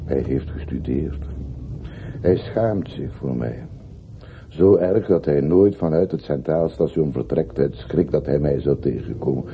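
A man's voice: very deep voice, a little slow, creaky, exaggerated voice. An actor playing a plain-spoken, deferential working man in a radio play, politely and earnestly insistent, on an old recording. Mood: earnest, confiding. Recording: noisy, muffled, phone-like old radio recording.

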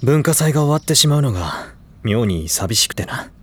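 An actor playing a stoic, reserved high school boy, talking in a measured, serious way in an audio drama. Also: sing-song, breathy edge. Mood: wistful. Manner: measured, formal, restrained. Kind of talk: Japanese audio drama dialogue.

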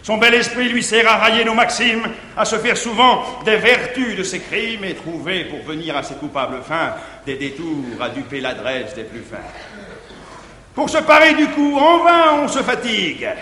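Un bourgeois d'âge mûr, jaloux et dominateur, à la voix haute et perçante. Il déclame ses vers avec de fortes variations de ton, passant d'éclats sonores à des apartés murmurés. Enregistrement théâtral de 1959, avec la longue réverbération d'une salle et un léger souffle. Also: male voice, high voice, full character voice. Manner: declamatory, swinging from loud outbursts to muttered asides. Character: jealous middle-aged male guardian, domineering and scheming. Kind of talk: 1950s stage verse acting. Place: large theatre stage. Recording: echoey 1959 stage recording with faint hiss.

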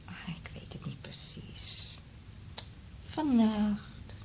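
A woman's voice: medium pitch, sing-song, very slow. An actress playing a vulnerable, guilt-ridden woman who secretly loves a priest, intimate and hesitant, in a Dutch radio drama. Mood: evasive, wistful. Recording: dull, lo-fi old radio recording, faint noise.

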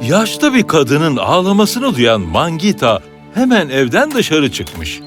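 A man tells a children's fairy tale in scripted narration. His pitch is a little high and swings dramatically from phrase to phrase, and music plays clearly behind him. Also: huge pitch swings, takes it slowly. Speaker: storyteller, narrator. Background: background music.

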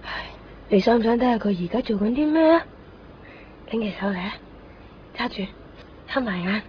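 A woman's voice: on the high side, expressive, moderate pace, slight background noise, close to an everyday voice. A woman acting a blunt, wistful, matter-of-fact teenage schoolgirl in a Cantonese radio drama, sighing, with a Hong Kong accent. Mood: resigned, knowing. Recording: dull lo-fi radio recording.

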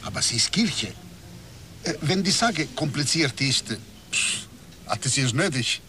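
An actor playing an opinionated Italian barkeeper in a radio drama, with an Italian accent, off from the microphone among clattering dishes. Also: male voice, on the high side, lively intonation, measured pace, slight background noise. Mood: animated, opinionated. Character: opinionated Italian barkeeper, male, skeptical of the rich church. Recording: distant from mic, loud bar clatter of dishes.

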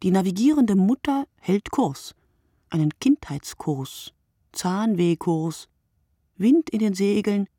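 A woman playing a sleepless, lonely writer in a literary radio play, speaking in a measured, contemplative way. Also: mid-range voice, expressive, warm tone, dry recording, studio quality. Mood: wistful, narrating. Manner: measured, contemplative, lyrical delivery.

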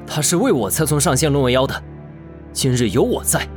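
A man playing a gentle but defiant, self-sacrificing young immortal in an audio drama, with earnest, expressive delivery. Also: mid-range voice, fairly quick, soft background music, lightly acted. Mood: resolute. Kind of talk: Chinese audio drama acting.

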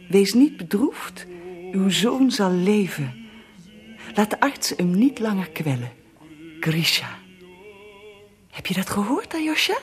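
An actress playing an anguished, devout Russian empress and mother in a radio drama, breathy and impassioned. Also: mid-range voice. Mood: tender, relieved. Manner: impassioned, pleading.

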